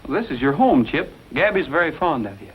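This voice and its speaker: An actor playing a kindly, steady young cowboy hero in a 1940s western drama, on a dull, noisy recording. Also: male voice, fairly high pitch, fairly quick. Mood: reassuring. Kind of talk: 1940s film and radio western acting. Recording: dull lo-fi old recording, background noise.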